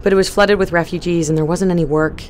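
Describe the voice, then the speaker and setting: An actress playing a quiet, resolute young woman on a spaceship crew. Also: on the low side, fairly even intonation, fast talker, a little hiss or hum, close to an everyday voice. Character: determined young female spaceship crew member.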